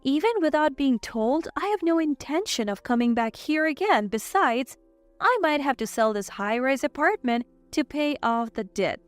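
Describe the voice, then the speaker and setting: A woman's voice: sits high, animated, normal speed, dry recording, soft background music. A woman reading a scripted first-person story as its narrator.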